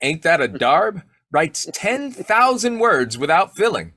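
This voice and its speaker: A man's voice: fairly high pitch, very animated, slow, bright, forceful tone, husky, dry recording, exaggerated voice. A man acting a snoopy, folksy husband who cracks slangy jokes in an old-time radio comedy. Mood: jokey. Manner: folksy, jokey, slangy delivery.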